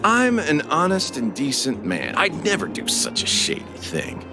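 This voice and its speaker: A man's voice: a little high, huge pitch swings, unhurried, over music, full character voice. An actor voicing a haughty, indignant male suspect in a video game, condescending and mocking. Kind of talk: theatrical video game dialogue.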